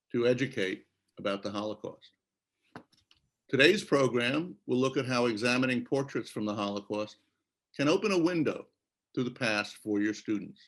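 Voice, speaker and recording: Formal man giving welcome remarks. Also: mid-range voice. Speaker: museum leader opening a conference.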